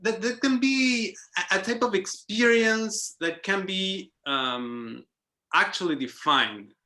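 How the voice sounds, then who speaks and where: Bright-voiced hesitant male academic. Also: sits high, slow pace. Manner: hesitant, many restarts and tag "no?". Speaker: guest speaker. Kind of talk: academic round-table discussion. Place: online round-table call.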